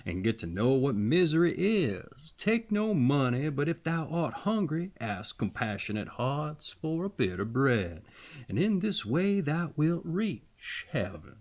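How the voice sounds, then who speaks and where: A man voicing a poor old male beggar in a fairy tale, solemn and wise, giving measured instruction. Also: fairly high pitch, sing-song.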